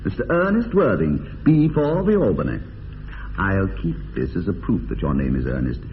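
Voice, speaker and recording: An actor playing an idle, witty, teasing young upper-class English bachelor in a radio comedy of manners, with arch, polished phrasing. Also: male voice, sits low, very animated, moderate pace. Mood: amused, probing. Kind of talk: radio drama acting of period comedy. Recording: tinny band-limited radio, long reverb, hiss.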